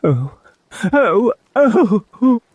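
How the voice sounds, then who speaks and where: A man voicing a gentle, sorrowful male faun who talks gloomily and breaks into sobbing pleas. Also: lively intonation, measured pace, dry recording, dull audio. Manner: tearful, sobbing high pleas.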